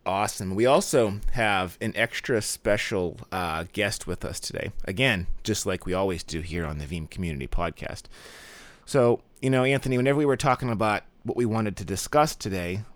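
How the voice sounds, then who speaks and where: Upbeat male podcast host, slightly low voice, dry recording. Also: moderate pace, no room sound. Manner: upbeat, says "awesome" often. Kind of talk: casual podcast chat.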